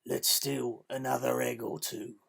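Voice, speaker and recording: A lanky, greedy crook gloats slowly over the money to come, as a reader puts him on. The voice is husky and gravelly, drawn out with relish. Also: mid-range voice, fairly even intonation, fairly bright tone, voice pushed down, exaggerated voice. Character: lanky greedy male thief, ex-convict.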